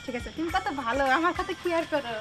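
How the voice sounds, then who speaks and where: Woman playing demanding mistress, very high voice, quick talker, wheedling, Bangladeshi accent, comedy drama, music underneath. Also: lively intonation, pushed and bright, heavily acted. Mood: coaxing. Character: pouting, demanding village mistress wheedling her married lover. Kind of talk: Bengali web comedy drama acting.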